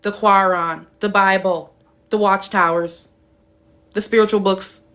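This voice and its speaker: A young woman hosting a casual live stream Q&A, blunt and emphatic, who swears freely, answers chat comments and plays with her voice, dropping low or going high. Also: fairly even intonation, measured pace, fairly bright tone. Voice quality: playful, shifts her voice between high and deep.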